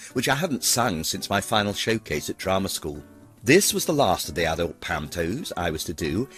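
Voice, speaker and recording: Low-voiced theatrical British man narrating. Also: a bit bright. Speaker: pantomime performer narrating a career retrospective. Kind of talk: scripted autobiographical narration.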